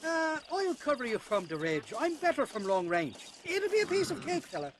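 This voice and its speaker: A man's voice: very high-pitched, wildly swooping pitch, normal speed, a little hiss or hum, exaggerated voice. An actor playing a flustered, theatrically polite and cowardly huckster in a western video game, hesitant and florid in his phrasing. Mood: eager, evasive. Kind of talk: video game cutscene acting.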